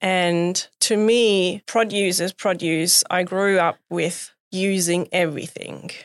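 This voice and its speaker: A woman's voice: fairly low pitch, fairly even intonation, slow pace, no room sound, studio quality. A woman from Germany, a guest in an interview, talking in an enthusiastic, chatty way. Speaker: guest, food business founder. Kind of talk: casual interview chat.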